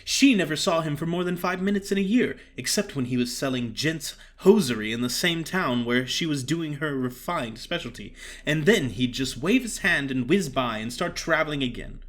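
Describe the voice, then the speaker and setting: A man's voice: fairly high pitch, lively intonation, moderate pace, hardly any pauses, a bit bright. A man voicing a small, frank young chorus girl in an audiobook, chatty, earnest and plain-spoken. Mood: earnest, firm. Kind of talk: audiobook character acting.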